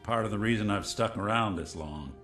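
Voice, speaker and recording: Video game robot detective, played by a man: American, middle-aged, low register, noir slang, tired decency, audible room reflections. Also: a bit bright, roomy sound, faint music behind, natural voice. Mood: warm, nostalgic. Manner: wry, old-time hardboiled slang, halting when confiding. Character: weary, kindhearted synth detective robot with an old cop's memories.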